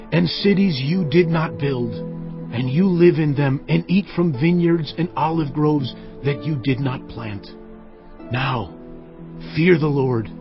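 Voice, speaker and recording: Stern, commanding Israelite patriarch, fairly high voice, declamatory audio Bible delivery, music underneath. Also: male voice, muffled recording. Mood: urging, resolute. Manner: emphatic, declamatory, solemn. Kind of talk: dramatized audio Bible acting, solemn oratory.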